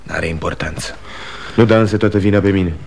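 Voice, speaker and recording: Casting: disillusioned thirties son, low-pitched, moody and weary, vintage radio-play delivery. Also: male voice, gravelly, a little mellow, slight background noise. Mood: brooding, weary. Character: disillusioned, brooding elder son in his thirties. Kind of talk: 1960 Romanian radio theatre acting.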